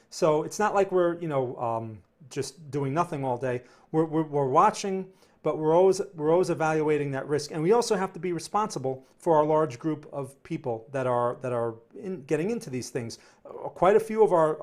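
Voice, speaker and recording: A man with a New Jersey accent speaks with a slightly raised pitch. He hosts a casual live stream, answering chat questions in loose, rambling talk. He repeats words and keeps checking in with "right?" and "okay?". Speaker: trading stream host. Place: home studio near New York City.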